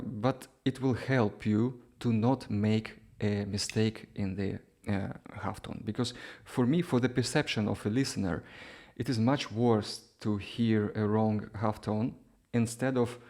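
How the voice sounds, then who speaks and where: A man with a fairly low, creaky voice teaches music informally. English is not his first language. He explains things hesitantly, feeling for words and checking in with short tag questions. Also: darkish tone. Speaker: duduk teacher. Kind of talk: informal instructional talk.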